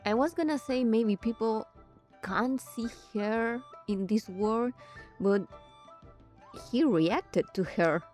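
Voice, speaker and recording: A woman doing casual game commentary, thinking aloud haltingly and breaking into exclamations. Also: on the high side, darkish tone, faint music behind. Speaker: streamer playing a game.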